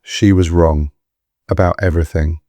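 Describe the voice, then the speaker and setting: An actor playing a decent, weary, protective husband in an audio drama, firm and earnest. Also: male voice, deep voice, fairly even intonation, moderate pace, mellow, dry recording, natural voice. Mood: firm, angry.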